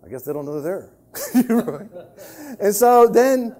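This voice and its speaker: High, animated Southern man telling a story. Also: slow pace. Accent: Southern American. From American South. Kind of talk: humorous anecdote in a sermon.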